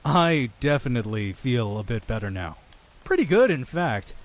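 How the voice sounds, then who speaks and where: A man playing a sardonic, sinister innkeeper who narrates grisly horror tales in an audio drama, with dramatic pauses. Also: medium pitch, very animated, natural voice. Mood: exasperated, wry. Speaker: storytelling narrator in character. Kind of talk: theatrical horror anthology narration, shifting to wry asides.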